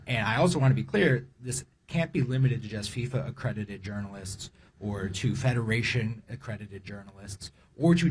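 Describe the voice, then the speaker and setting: A man with a low voice makes an emphatic statement at a news conference, building his point by repeating key phrases. A faint hiss sits underneath his voice. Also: darkish tone. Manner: firm, emphatic, repeats phrases for rhetorical effect.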